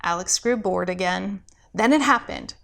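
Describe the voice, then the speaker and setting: A woman's voice: medium pitch, moderate pace, gravelly, a bit bright. A woman reading a scripted story expressively, as a pastor telling a tale.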